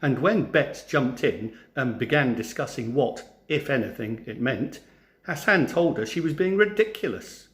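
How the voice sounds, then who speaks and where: A man narrating a storybook for children in an expressive, theatrical way, like a teacher. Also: medium pitch, unhurried. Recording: roomy, echoing sound.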